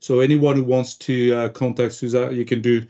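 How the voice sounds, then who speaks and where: A man with a warm, dark-toned mid voice hosts an interview podcast, keeping his prompts short. The recording has a dull, lo-fi edge. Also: mid-range voice, little pitch movement, normal speed. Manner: brief, friendly prompts to the guest. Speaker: podcast host. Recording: dull lo-fi audio.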